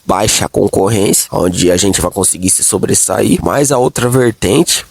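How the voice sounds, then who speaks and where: A low-pitched Brazilian man with a Brazilian Portuguese accent talks straight to the viewer, his voice hoarse from a cold and rough with gravel. The recording is dry and close, with no room sound. He speaks in casual slang, calls the listener "mano," and pushes up on his key points. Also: measured pace, no room sound. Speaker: YouTube commentary host. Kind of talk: casual opinion monologue.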